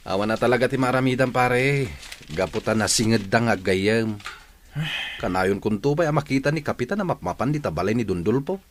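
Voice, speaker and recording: A man's voice: medium pitch, fairly quick, a little hiss or hum, lightly acted. A man playing a sympathetic, gossipy village friend in a comedy radio drama, talking in a familiar way. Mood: commiserating. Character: gossipy, sympathetic village buddy sharing bad news.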